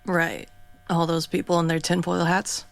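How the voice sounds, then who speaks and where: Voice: medium pitch, level tone, normal speed, vocal fry, close to an everyday voice. A performer playing a sarcastic radio co-host who trades insults and reads the news. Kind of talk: radio drama acting.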